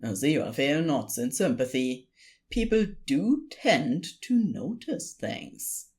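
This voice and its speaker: A woman reading scripted story narration in an expressive storytelling style. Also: sits low, takes it slowly.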